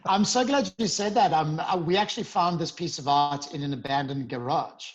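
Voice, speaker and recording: Guy, 40s, kinda high voice, keen and earnest, video call. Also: normal speed, muffled recording. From South Africa. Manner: upbeat, earnest, with bursts of emphasis. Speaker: podcast guest, founder. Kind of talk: casual interview chat.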